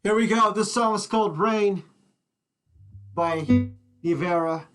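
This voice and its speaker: A man's voice: sits high, measured pace, fairly bright tone, faint music behind. A man working as a radio DJ, introducing a song on air. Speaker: radio DJ host.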